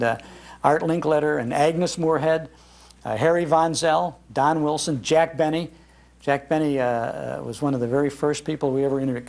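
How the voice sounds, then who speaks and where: A man's voice: fairly high pitch, vocal fry. An American man in his sixties, a radio host giving an informal talk to an audience. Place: public library meeting room.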